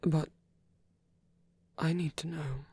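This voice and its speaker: A performer playing a gentle, protective male vampire priest in an intimate ASMR roleplay, speaking softly and haltingly, often in a whisper. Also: medium pitch, fairly even intonation, no echo. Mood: earnest, hesitant. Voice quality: soft, breathy, often whispering. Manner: hesitant, tender, halting pauses.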